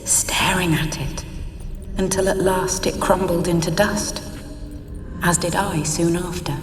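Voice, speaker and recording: A woman's voice: lowish voice, steady tone, natural voice. A woman playing a sorrowful ghost bride. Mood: mournful. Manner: slow, wistful, hushed. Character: sorrowful young noblewoman's ghost. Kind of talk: video game cutscene voice acting. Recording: echoey, distant, with music.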